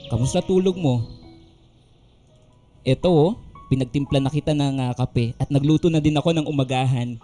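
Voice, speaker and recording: A man playing a tender, gently teasing young husband and father in a radio drama, over music. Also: mid-range voice, normal speed, pauses often, lightly acted. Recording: music under the voice.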